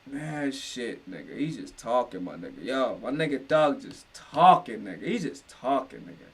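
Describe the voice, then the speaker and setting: A man's voice: medium pitch, very animated, moderate pace, breathy edge, distant mic. A man giving casual, unscripted reaction commentary, slangy and profane, repeating himself for emphasis. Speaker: reaction video host.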